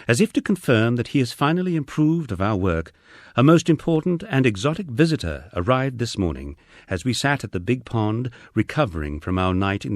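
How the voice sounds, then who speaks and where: A man narrating a first-person memoir as an audiobook, reading in a measured, expressive storytelling manner. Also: medium pitch, no room sound, studio quality.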